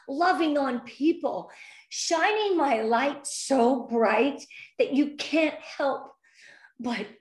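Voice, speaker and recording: A woman in her fifties talks with effusive, earnest warmth and a restless nervous energy, her voice sitting a little high. She is heard over a video call, and the room around her gives it a noticeable echo. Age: 50s. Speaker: interview guest. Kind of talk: emotional heartfelt interview.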